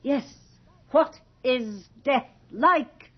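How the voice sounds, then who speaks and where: An actress in a radio drama playing an imperious, callous society hostess with a haughty, theatrical, commanding way of speaking. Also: female voice, sits high, extremely slow, husky, full character voice. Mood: gleeful, eager. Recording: dull 1980s radio drama recording.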